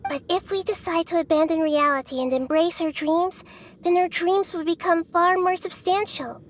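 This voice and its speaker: An actress voicing a calm, thoughtful young goddess of wisdom who explains things gently in a video game. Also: female voice, extremely high pitch, moderate pace, slight background noise. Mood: explaining.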